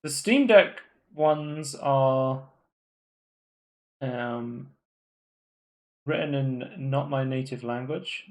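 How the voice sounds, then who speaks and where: A man's voice: mid-range voice, drawn-out words, pauses often, darkish tone. A man co-hosting a live gaming podcast, chatting casually and rambling through tech news with lots of fillers and restarts. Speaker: podcast co-host. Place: home room, live stream.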